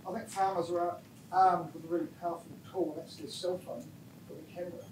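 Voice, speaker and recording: A woman in the audience speaks earnestly in a mellow, soft voice. She sounds far from the mic in an echoey hall with faint noise. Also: lowish voice, fairly even intonation, quick pace. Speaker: audience member. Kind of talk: audience comment.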